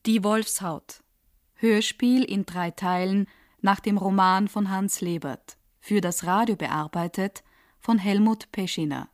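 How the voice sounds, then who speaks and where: A woman's voice: medium pitch, slow, no echo, studio quality, soft background music. A woman announcing a radio play in a formal, scripted style.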